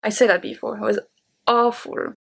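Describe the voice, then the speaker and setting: A woman's voice: fairly high pitch, steady tone, normal speed, vocal fry, husky. Woman, 30s, French accent, native French. Chatty YouTube host answering questions. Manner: chatty and open, with light laughs. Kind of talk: casual Q&A talking to camera.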